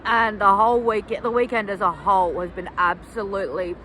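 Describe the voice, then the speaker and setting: A woman's voice: medium pitch, fairly even intonation, a little fast, pushed and bright, a little hiss or hum. A woman vlogging outdoors after a match, chatty and tired, correcting herself as she goes. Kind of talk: casual vlog chat.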